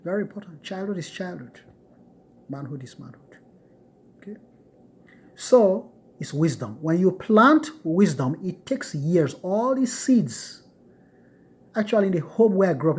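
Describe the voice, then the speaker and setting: A man's voice: a little high, expressive, moderate pace, a little mellow, husky. A middle-aged man from Nigeria giving an emphatic, repetitive motivational lecture to his students.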